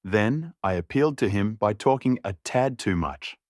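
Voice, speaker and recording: A man's voice: on the low side, lively intonation, normal speed, dry recording, clean studio sound. A man reading a scripted story as an audiobook narrator.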